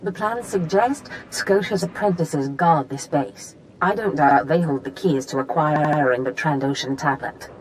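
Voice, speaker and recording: A woman's voice: low-pitched, measured pace, few pauses, a little hiss or hum, rough recording. A woman playing a haughty, scheming Sith master in a video game, theatrical and calculating. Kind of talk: video game dramatic acting.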